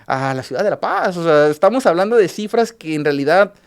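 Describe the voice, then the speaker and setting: Man, Mexican Spanish accent, somewhat high voice, radio news guest, halting with fillers. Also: animated, gravelly, clean tone. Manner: halting, restarts with filler sounds, recapping cases.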